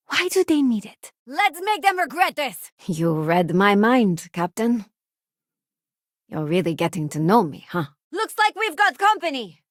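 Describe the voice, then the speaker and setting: Idealistic young space settler, video game companion: friendly, earnest, expressive, very dry studio sound. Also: female voice, a little high, no echo. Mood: urgent, then warm banter. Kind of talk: scripted video game character voice lines.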